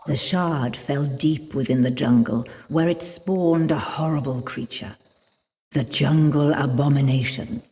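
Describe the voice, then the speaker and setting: A woman's voice: a bass voice, a little slow, mellow, a little hiss or hum. A woman narrating a fantasy game cutscene in a grave, portentous, dramatic storytelling style.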